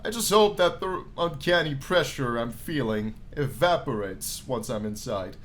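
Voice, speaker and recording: A man playing a game on his channel, reading the story aloud as animated narration and dropping in casual, jokey asides. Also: medium pitch, a little slow, a little hiss or hum. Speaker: gaming YouTuber reading a visual novel aloud. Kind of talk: let's play commentary mixed with dramatic read-aloud narration.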